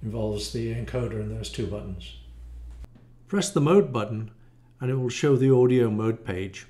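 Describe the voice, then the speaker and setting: Older guy, 60s, walking through a demo of his own gear, mid pitched voice. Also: moderate pace, warm tone. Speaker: module designer presenting a demo. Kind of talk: product demo, explanatory narration. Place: small room at home, indoors.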